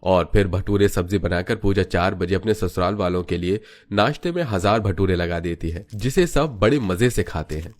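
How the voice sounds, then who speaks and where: A man's voice: deep voice, normal speed. A man narrating a scripted cartoon story as its storyteller.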